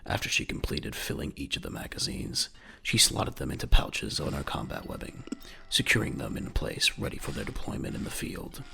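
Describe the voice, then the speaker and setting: A man narrating a scripted audio drama in a measured, dramatic, gravelly voice. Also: very deep voice, big pitch swings.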